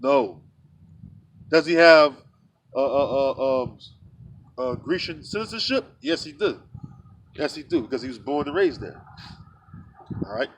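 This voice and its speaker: High-voiced man preaching outdoors. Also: very animated, measured pace, vocal fry, pauses often, fairly bright tone, slight background noise. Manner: reads verses aloud then explains them in blunt street talk; many filler sounds and restarts. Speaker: street preacher. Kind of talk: scripture teaching.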